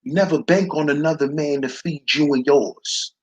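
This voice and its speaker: A man with a baritone voice hosting a slow, preachy commentary monologue, calling his listeners brothers. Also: fairly even intonation, slightly rough, dull audio. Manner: preachy, addresses listeners as brothers, repeats "see". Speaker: commentary channel host.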